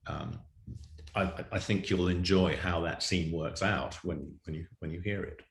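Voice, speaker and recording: A man telling an anecdote in an interview as a writer, explaining in measured detail, recorded with roomy echo. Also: deep voice, fairly even intonation, gravelly. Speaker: writer of audio drama, interview guest. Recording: roomy, echoey sound.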